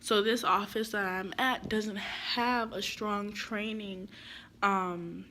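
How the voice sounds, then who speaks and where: A woman's voice: medium pitch, steady tone, slow, pushed and bright, slight background noise. A young woman giving casual real estate advice to camera, her voice stuffy from being sick, chatty and rambling with lots of fillers.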